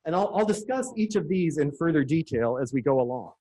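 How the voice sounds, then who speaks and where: A man with a high, light voice presents at a conference, eager and quick to make a small joke. He sounds middle-aged and talks the audience through his slides. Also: lively intonation, warm tone. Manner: enthusiastic, lightly joking. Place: conference room.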